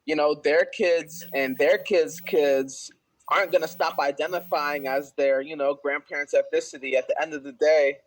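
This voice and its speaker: A man's voice: on the high side, fairly even intonation, moderate pace, poor audio. A young man co-hosting a podcast, explaining his view in a casual, earnest way and repeating words as he goes. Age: young adult. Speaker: podcast co-host. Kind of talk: casual discussion.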